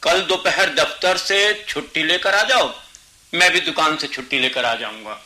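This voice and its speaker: A man's voice: a little high, normal speed, bright, forceful tone. An actor playing an evasive, irritable middle-aged father and husband in a radio drama, defensive and grumbling. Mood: grudging, evasive.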